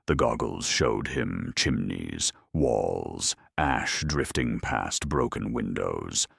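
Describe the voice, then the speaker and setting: A man narrating a scripted dramatic story in a wry, deadpan manner. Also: rumbling low, takes it slowly, creaky, breathy edge, dry recording, studio quality.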